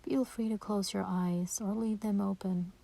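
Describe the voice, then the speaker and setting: A woman leading a guided meditation in a soft, breathy voice, gentle and soothing, with unhurried pauses. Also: medium pitch, fairly even intonation.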